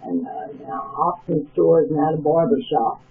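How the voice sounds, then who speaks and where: A man's voice: fairly high pitch, normal speed. An older man reminiscing in a rambling, casual way, interviewed over the phone. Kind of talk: casual oral-history chat by phone. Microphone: telephone. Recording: tinny phone line.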